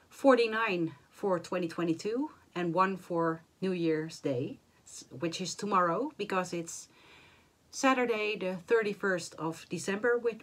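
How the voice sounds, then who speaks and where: A woman in her forties, hoarse and a little nasal after the flu, chatting to camera about her stitching projects from a small home craft room, with many fillers and restarts. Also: fairly low pitch. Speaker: cross-stitch vlogger. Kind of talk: casual chat to camera.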